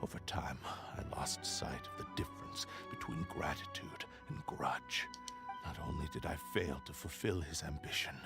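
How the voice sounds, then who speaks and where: A man playing the solemn, remorseful spirit of a cursed blade in a video game, speaking gravely and formally. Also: rumbling low, normal speed, loud music behind the voice. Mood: hushed, remorseful. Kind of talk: dramatic video game voice acting.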